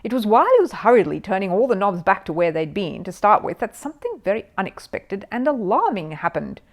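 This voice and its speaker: Animated woman reading a children's story. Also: mid-range voice, a little fast. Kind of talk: expressive scripted storybook narration.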